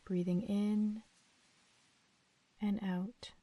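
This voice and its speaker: A woman leading a guided sleep meditation in a gentle, soothing, unhurried manner with long pauses. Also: medium pitch, flat delivery, no echo.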